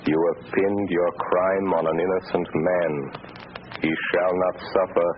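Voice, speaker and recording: An actor playing an invisible, menacing avenger in 1930s radio style, slow, deliberate and sinister as he taunts. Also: deep voice, level tone, slight background noise. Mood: grave menace. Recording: muffled 1930s radio, music behind.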